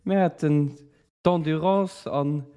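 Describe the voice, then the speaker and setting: A man's voice: fairly high pitch, measured pace, dark tone, clean tone. A man with a Luxembourgish accent joking and teasing in a casual podcast chat.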